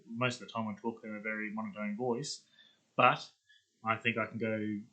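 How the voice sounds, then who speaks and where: A man's voice: medium pitch, fairly bright tone, poor audio. A man hosting a video in a casual, rambling chat, thinking aloud as he goes. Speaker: video host.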